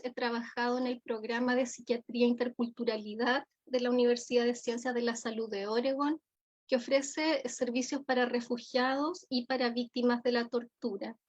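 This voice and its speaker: High-voiced Chilean woman giving a formal lecture. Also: steady tone, normal speed. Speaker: forensic psychologist giving a keynote lecture. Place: remote online conference. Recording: dull, lo-fi audio.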